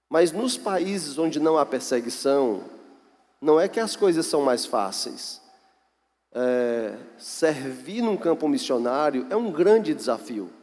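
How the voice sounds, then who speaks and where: Brazilian pastor in an echoey hall. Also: male voice, mid-range voice, a little mellow. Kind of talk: missions presentation to a church. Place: large echoey church hall. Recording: echoey hall, long reverb.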